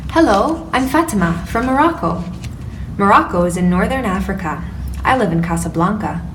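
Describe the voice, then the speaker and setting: A woman doing a lively young city girl. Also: medium pitch, normal speed, reverberant, lightly acted. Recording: distant mic with background noise.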